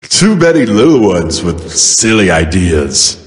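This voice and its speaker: A man's voice: sits low, sing-song, measured pace. A man voicing a sleepy, childlike, good-natured giant for a video game, with a drowsy, hesitant, whiny delivery. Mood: drowsy, mild. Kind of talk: video game character voice lines. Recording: echoey, long reverb.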